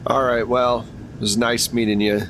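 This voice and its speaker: Man acting, tired public defender, frank, casual, hesitant, tabletop game, faint rumble behind. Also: flat delivery, moderate pace, a bit bright, natural voice. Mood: pragmatic. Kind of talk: improvised tabletop roleplay. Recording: rumbly background noise.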